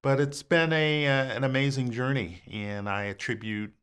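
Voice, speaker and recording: A man's voice: medium pitch, moderate pace, smooth. A man talking casually as a podcast guest, rambling with lots of fillers. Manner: relaxed, rambling with many fillers. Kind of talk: casual conversation.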